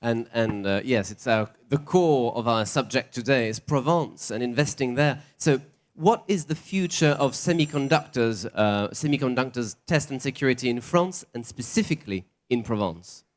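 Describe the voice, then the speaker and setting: Interviewer guy asking quick questions, normal middle voice. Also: mid-range voice, animated. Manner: short questions and brief acknowledgements. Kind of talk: trade show interview.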